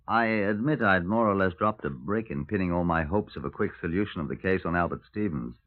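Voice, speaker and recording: An actor playing a wry, genial British police superintendent in clipped 1950s radio drama style, on a vintage recording. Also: male voice, low voice, a little fast, lightly acted. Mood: considered. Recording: tinny, narrow-band vintage radio recording.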